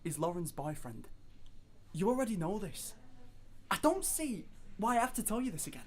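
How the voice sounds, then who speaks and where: A woman's voice: medium pitch, very animated, moderate pace, a little mellow, close to an everyday voice. A woman playing a distraught, defensive and protective brother in an audio drama, with emphatic, stammering protests. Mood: defensive, agitated. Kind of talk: dramatic audio scene acting. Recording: clear background noise.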